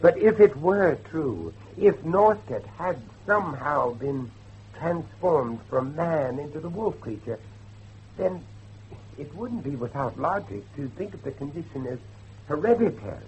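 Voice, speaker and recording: A man acts out an intense, brooding father in a horror drama, haunted by grief and talk of devilry. His pitch swings widely between grave pauses and emphatic outbursts. The recording is dull, with faint noise behind it. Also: expressive, a little mellow. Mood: insistent. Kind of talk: dramatic horror dialogue acting.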